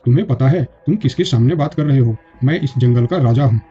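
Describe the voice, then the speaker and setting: A man's voice: mid-range voice, quick pace, mellow, husky. A man voicing a proud, short-tempered lion king in a children's cartoon, in a dull recording with music. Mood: angry, commanding. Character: proud, domineering lion king, quick to anger.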